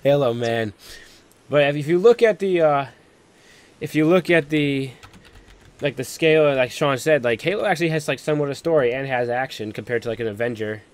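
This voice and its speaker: A man's voice: mid-range voice, a little fast. A man hosting a casual live stream chat, talking in a rambling, filler-heavy way with lots of "like". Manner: rambling, peppered with "like". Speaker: host of a live stream panel.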